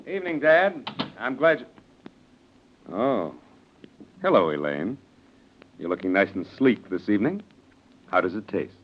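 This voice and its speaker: Defiant young heir. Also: male voice, on the low side, very animated, measured pace, pauses often. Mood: wry. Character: defiant young heir, wry son in love. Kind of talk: 1940s radio drama acting. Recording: muffled old-time radio recording.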